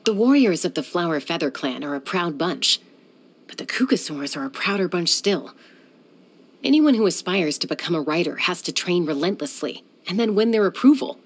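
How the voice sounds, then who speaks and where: An actress voicing a cool, confident young woman who is a sky-hunter and peacemaker in a video game, speaking in a calm, composed, measured way. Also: lowish voice, husky. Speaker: game character.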